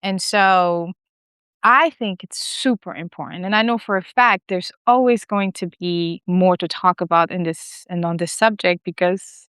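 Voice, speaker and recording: A woman from Senegal in her thirties, co-hosting a podcast in casual, animated chat full of fillers and restarts. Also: lowish voice, measured pace, clear, resonant tone, no room sound, studio quality. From West Africa, Senegal. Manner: animated, lots of fillers and restarts, laughs often. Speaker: podcast co-host.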